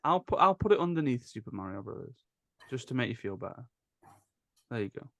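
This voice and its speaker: A man's voice: mid-range voice, very animated, fairly quick, creaky, fairly bright tone. Man hosting casual podcast banter. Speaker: podcast host running the ranking.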